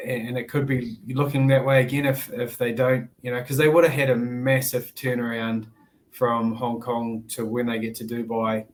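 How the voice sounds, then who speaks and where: A man with a New Zealand accent, a former sevens player chatting as a podcast guest in a relaxed, rambling way. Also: medium pitch, a little hiss or hum. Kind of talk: casual podcast chat.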